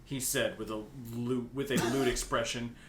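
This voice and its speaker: A man's voice: fairly even intonation, normal speed, a bit bright. A young man reading fan fiction aloud for laughs, mixing casual banter with hammy delivery and stumbling over words. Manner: giggly, stumbles over words, hams up quotes. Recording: echoey room with faint rumbling noise.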